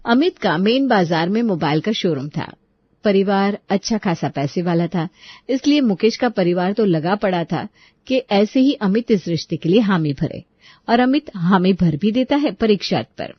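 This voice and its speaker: A woman's voice: medium pitch, animated, moderate pace, slightly rough, no room sound, muffled recording. A woman delivering scripted, dramatic story narration.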